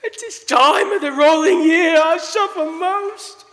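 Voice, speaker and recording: A man's voice: very high voice, fairly bright tone. An actor playing the tormented ghost of a dead businessman, mournful and warning, in a live radio play. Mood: anguished wailing. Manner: wailing, slow, drawn-out, grave warnings. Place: live stage in a church. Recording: long church hall reverb.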